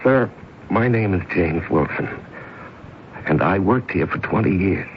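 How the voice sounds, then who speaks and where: An actor playing a bewildered, earnest fired employee in a 1970s radio drama. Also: male voice, low-pitched, lively intonation, measured pace, slight background noise. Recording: muffled old radio broadcast.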